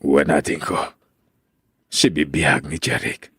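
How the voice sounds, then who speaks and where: A man playing a remorseful older brother, speaking in a halting, apologetic way in an audio drama. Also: very deep voice, steady tone, bright, forceful tone, husky, no echo, clean studio sound, full character voice. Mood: guilty. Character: remorseful older brother confessing a grave wrong. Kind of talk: amateur audio drama acting.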